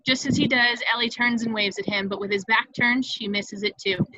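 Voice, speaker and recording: A woman's voice: on the high side, steady tone, a little fast, bright, forceful tone, dry recording, highs cut off. A woman reading screenplay stage directions as the narrator of a table read.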